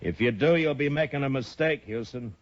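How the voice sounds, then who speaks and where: An actor playing a steady frontier marshal in a 1950s radio western, measured, dry and authoritative. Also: male voice, medium pitch, no room sound, natural voice. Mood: stern. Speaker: lead character. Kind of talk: 1950s radio drama acting. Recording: muffled old radio broadcast.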